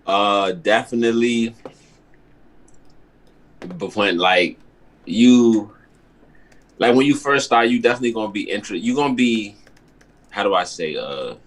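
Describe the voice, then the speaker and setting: A man's voice: on the low side, lively intonation, a little slow, pauses often. A young man from Maryland, guest artist on a video call, talking casually and loosely with lots of fillers and slang. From Maryland, with early childhood in Ghana.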